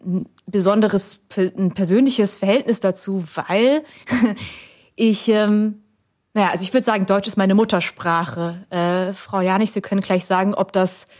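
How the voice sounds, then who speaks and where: A woman's voice: mid-range voice, fairly even intonation, unhurried, darkish tone. Reflective woman searching for words. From Germany. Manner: reflective, hesitant with many fillers and restarts. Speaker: guest. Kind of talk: conversational interview answer.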